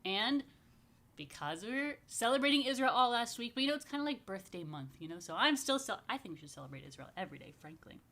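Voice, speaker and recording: A woman's voice: fairly high pitch, sing-song, fast, fairly bright tone. A woman rabbi hosting a family story time, chatting casually and playfully straight to young families, with informal asides and invitations to join in. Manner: addresses viewers directly with questions and invitations.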